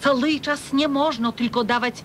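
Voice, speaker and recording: An actress plays a talkative older housewife in a radio serial, with a rather high voice and a folksy Podlasie dialect. She lectures and nags with great conviction. The recording has a low rumble and some faint noise. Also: fairly even intonation, moderate pace, close to an everyday voice. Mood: lecturing. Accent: Podlasie, eastern Polish. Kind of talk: radio drama acting in rural dialect.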